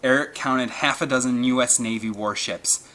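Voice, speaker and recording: A man's voice: medium pitch, steady tone, moderate pace, pushed and bright. A man reading an adventure novel aloud as dramatic scripted narration. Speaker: audiobook narrator.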